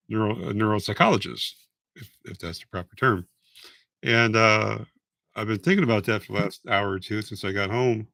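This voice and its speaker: Man, hoarse raspy voice, rambling live-stream talk, low gravelly tone, dry close sound. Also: bright, forceful tone, no room sound. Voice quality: raspy, voice fading. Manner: rambling, halting, frequent restarts. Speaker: patient vlogger going live.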